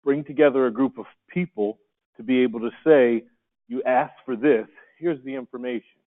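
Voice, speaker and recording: A man's voice: mid-range voice, normal speed. A man facilitating a workshop, speaking in an upbeat, coaxing way and putting questions to the room. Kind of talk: leading a group discussion. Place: conference room. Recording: tinny phone-like sound.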